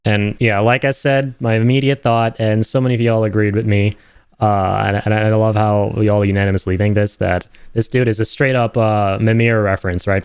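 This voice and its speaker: An enthusiastic man talks casually through game trailer theories. His voice sits fairly low and is recorded dry. He speculates freely, like a streamer hosting a reaction video. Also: a little mellow, clean tone, dry recording.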